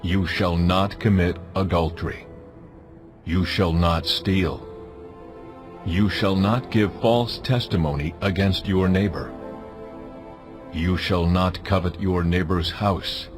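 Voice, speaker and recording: Majestic male deity, very low voice, stern, solemn, authoritative commands, scripture drama, music clearly behind. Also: fairly even intonation. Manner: solemn, authoritative, deliberate phrasing.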